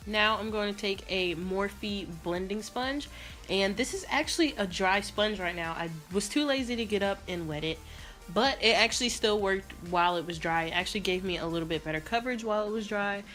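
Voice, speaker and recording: A young woman vlogger with a raspy voice and a sore throat, chatting casually through a makeup tutorial. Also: mid-range voice, a little fast, faint music behind. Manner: chatty, rambling, relaxed. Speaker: beauty vlogger.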